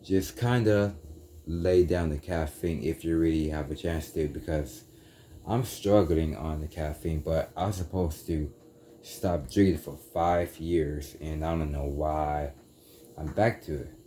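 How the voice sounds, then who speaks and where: Deep-voiced man rambling about spirituality. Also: takes it slowly, warm tone, slight background noise. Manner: meandering, self-correcting, casual slang like "dude". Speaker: YouTuber.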